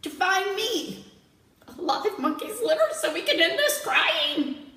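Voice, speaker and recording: A woman's voice: extremely high pitch, sing-song, takes it slowly, bright, forceful tone, pitched above the performer's own voice, exaggerated voice. A woman voicing a proud, anxious undersea prince who speaks firmly and commands. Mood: commanding. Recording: roomy, from far off.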